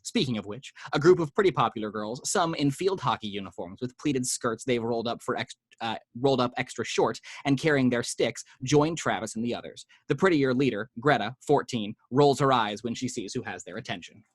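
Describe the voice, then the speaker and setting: A man reads a screenplay's action lines at home with vivid, measured delivery. His voice sits in a middle range and sounds dry and close, with no room echo. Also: medium pitch, expressive, husky. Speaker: narrator reading action lines. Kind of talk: screenplay reading.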